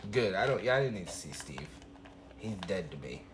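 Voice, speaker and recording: A man's voice: deep voice, very animated, fairly quick, heavy background noise. A man in his thirties co-hosting a podcast, blunt and wry with lots of swearing. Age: 30s. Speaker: podcast co-host. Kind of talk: casual chat.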